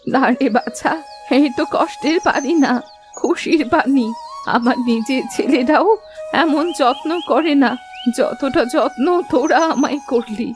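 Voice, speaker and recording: A high-pitched old village auntie, speaks in an effusive, motherly, folksy way. She is a grateful, affectionate cartoon fairy-tale elder, with faint music playing behind her. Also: measured pace. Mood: tender, moved. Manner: effusive, folksy, motherly way of addressing younger people.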